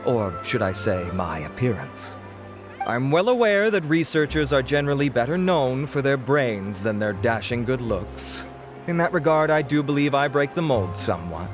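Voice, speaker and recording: A man playing a smooth, showy researcher-salesman. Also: sing-song, moderate pace, close to an everyday voice. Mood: persuasive. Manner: smooth, theatrical sales pitch. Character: vain, charming young male researcher and salesman. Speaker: product presenter. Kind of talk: video game voice acting. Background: game music.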